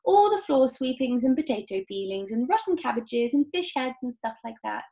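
An eccentric storybook factory owner, a man of unruffled good cheer, played by a woman reading aloud. He is matter-of-fact and playfully amused, with a high, bouncy lilt. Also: very animated, moderate pace, dark tone. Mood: blithe.

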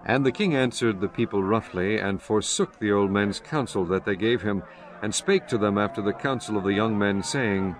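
A man's voice: lowish voice, normal speed, poor audio. A man narrating a dramatized scripture reading in a solemn, formal storytelling manner. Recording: music bed behind the voice.

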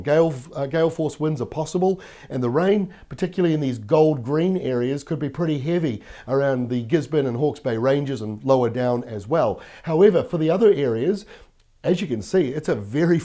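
Croaky-voiced New Zealand weatherman. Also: on the high side, warm tone. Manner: upbeat, conversational, explains while pointing at maps. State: croaky voice from a cold. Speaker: weather presenter. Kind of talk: TV weather forecast. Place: TV studio in front of a weather map screen. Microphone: lapel mic.

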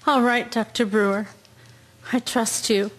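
A woman acts a wounded army nurse in a radio drama. Her voice is on the high side. She comes across as tough, earnest and determined. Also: fairly even intonation, measured pace, poor audio. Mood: brave.

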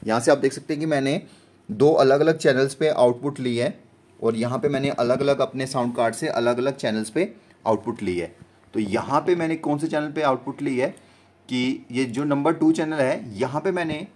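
A man in his thirties with an Indian accent explains gear step by step in a casual vlog style, recorded indoors on a phone. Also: medium pitch. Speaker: vlogger and musician. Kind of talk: casual product demo vlog. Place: small home studio room, indoors.

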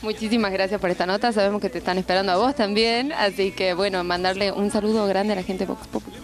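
A woman's voice: medium pitch, fairly even intonation, fast. A young woman with an Argentine accent, interviewing guests in a TV studio in a playful, bantering way. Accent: Argentine Spanish. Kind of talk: casual TV interview. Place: TV studio set, on a couch. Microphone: handheld mic.

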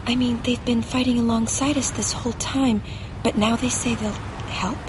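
A woman playing a gentle, earnest young summoner in a video game, speaking softly and haltingly with quiet worry. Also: on the high side, steady tone, background noise, close to an everyday voice. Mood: troubled, wondering. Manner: soft, hesitant, halting phrasing. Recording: game audio with loud music.

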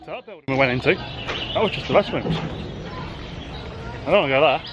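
A man's voice: fairly high pitch, animated, fairly quick. A man in his thirties chatting casually and playfully as he vlogs, filming himself at arm's length. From United Kingdom. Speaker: vlogger. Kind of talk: casual vlog chat. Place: outdoors at a theme park zoo and in an open-sided wooden shelter. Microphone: handheld camera at arm's length. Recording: outdoor park, background music and crowd.

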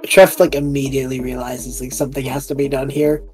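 A man describes his moves in a tabletop game. He is informal and halting but animated, with faint music playing behind his voice. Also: medium pitch. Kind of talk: casual improvised play narration.

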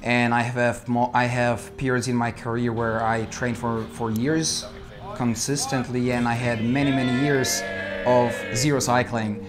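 Polish-accented male amateur cyclist, thirties, measured reflective interview delivery, clear music bed underneath. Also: medium pitch, level tone. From Poland. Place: indoor studio with dark backdrop.

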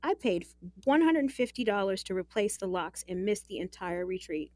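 A woman's voice: mid-range voice, expressive, moderate pace, darkish tone, no echo, close to an everyday voice. An actress playing a guarded, exasperated young working woman in an audio drama, frank and wry.

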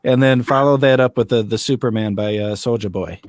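A man in his thirties hosting a casual online author chat, joking and animated. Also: medium pitch, a little fast, dry recording, studio quality. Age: 30s. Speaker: podcast host. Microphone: studio mic on arm.

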